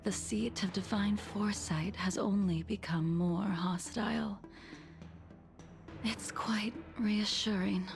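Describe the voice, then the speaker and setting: Game role: serene fallen sword legend, measured and breathy, with a creaky edge, over music. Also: female voice, medium pitch. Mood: serene, wistful. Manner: slow, calm, breathy, deliberate. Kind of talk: English video game voice acting. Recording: game audio with music behind.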